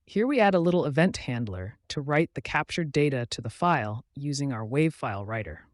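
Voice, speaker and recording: A man narrating a scripted tutorial as its host, in a measured, instructional way. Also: medium pitch, expressive, dry recording.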